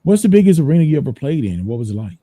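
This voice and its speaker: A laid-back man hosts an interview, chatting loosely and circling back on his words. His voice is deeply dark and mellow, with a middle pitch. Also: big pitch swings, racing. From United States. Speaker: podcast host. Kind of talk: casual interview chat.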